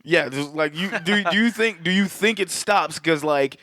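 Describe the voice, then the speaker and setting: A young man talks loosely on a podcast, circling back and restarting his thoughts. His voice sits a touch high, and the sound is dry and close with no room. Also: normal speed, sharp tone, no echo. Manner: rambling, many restarts and fillers. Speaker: podcast co-host. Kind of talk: casual chat.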